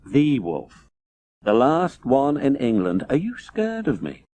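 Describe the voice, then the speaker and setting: Man voicing a solemn, gentle storybook wolf, very expressive. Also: mid-range voice, slow. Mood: sad, gentle. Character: big gentle, sad, protective male talking wolf. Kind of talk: children's audiobook character acting. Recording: faint noise, old digitized audiobook recording.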